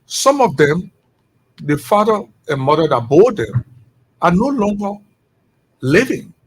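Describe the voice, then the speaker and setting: Middle-aged Liberian man, high-ish husky voice, impassioned radio guest. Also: sing-song, unhurried, poor audio. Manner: impassioned, rising into emphatic rhetorical questions. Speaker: executive director of a returnee network.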